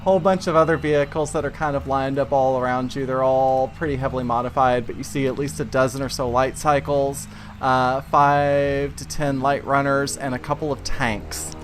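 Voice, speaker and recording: A man narrating an improvised tabletop game as game master, in a halting, conversational way. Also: mid-range voice, steady tone, a little hiss or hum.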